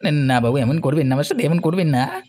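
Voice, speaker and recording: A man's voice: on the high side, sing-song, fast, darkish tone. A man playing a cowardly, lying village bully who pleads for mercy in a cartoon. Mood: begging. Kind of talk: cartoon voice acting in Bengali.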